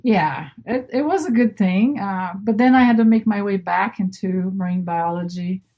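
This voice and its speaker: A middle-aged woman who grew up in Brazil with Portuguese as her native language speaks as an interview guest. Her voice is mid-pitched, and she talks informally, rephrasing as she goes. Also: normal speed, mellow. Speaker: interview guest, marine biologist. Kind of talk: casual personal storytelling.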